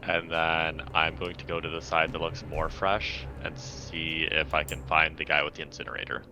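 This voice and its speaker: A man playing a tabletop roleplaying game, casually stating his moves, on a clearly noisy recording. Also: very deep voice, little pitch movement, moderate pace, pushed and bright.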